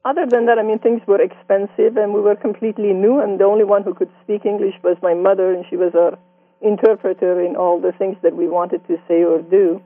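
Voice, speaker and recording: A woman in her sixties from Iran with a Persian accent, telling her life story in an interview with reflective, gently amused warmth. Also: mid-range voice, fairly even intonation, brisk, no echo. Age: 60s. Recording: dry tinny phone-like sound.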